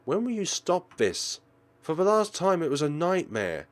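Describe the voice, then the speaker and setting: A man's voice: on the high side, big pitch swings, unhurried, voice pushed up. A man voicing a worried, stern mother who scolds her child in an insistent, pleading manner in a visual novel. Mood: exasperated.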